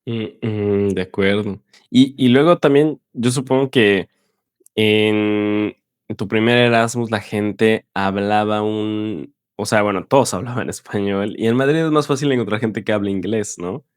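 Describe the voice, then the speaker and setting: A woman with a strikingly deep, low voice asks her guest questions in an easygoing, conversational way. The sound is dry and close, with no room echo. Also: a little slow, darkish tone. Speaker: interviewer. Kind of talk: casual interview questions.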